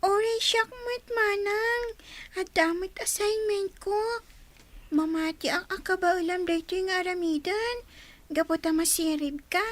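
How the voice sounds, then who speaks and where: A whiny little Ilocano sister for radio drama. The voice is extremely high and sharp, with a very dry sound. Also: female voice, no echo, full character voice. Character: whiny little schoolgirl, admiring younger sister.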